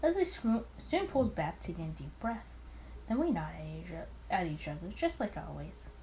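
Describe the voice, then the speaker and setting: A woman's voice: lowish voice, lively intonation, normal speed, dark tone, low-quality recording. A woman reading a visual novel aloud with commentary, expressively and with stumbles and rereads, over some background noise. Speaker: let's player.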